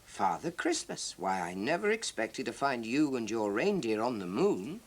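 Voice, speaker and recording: A man playing an earnest spaceman, lively pitch. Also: moderate pace. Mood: astonished. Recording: hissy 1970s TV soundtrack.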